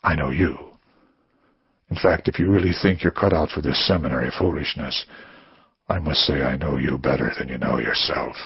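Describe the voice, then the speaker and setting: A man voicing a menacing male caller who speaks low and breathy, drawing out each syllable in a condescending way. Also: dry recording, muffled recording, exaggerated voice. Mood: taunting. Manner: condescending, slow and whispery.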